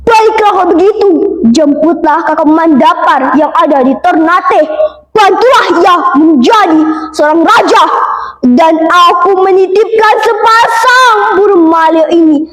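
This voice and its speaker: A woman's voice: very high-pitched, unhurried. A young female storyteller voicing a solemn royal father who instructs his son with formal authority in a folktale. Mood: solemn, instructing. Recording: roomy, echoing sound.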